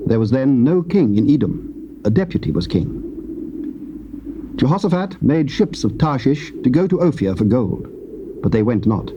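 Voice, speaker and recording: A man narrating scripture in a measured, stately manner. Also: mid-range voice. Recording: dull tape with hiss.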